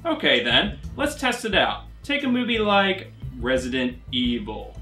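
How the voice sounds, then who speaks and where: A man's voice: on the high side, sing-song, a little slow, bright, forceful tone, soft background music. A man in his thirties hosting a scripted video essay as a critic, sardonic and emphatic in his opinions. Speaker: video game movie critic, show host. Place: small furnished bedroom, indoors.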